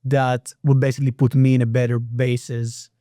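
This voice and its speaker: A man's voice: medium pitch, little pitch movement, moderate pace, dark tone, dry recording, studio quality. A man from Israel with an Israeli accent, a native Hebrew speaker, explaining lending as a podcast guest with frequent restarts. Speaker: private real estate lender, guest. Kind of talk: casual podcast interview.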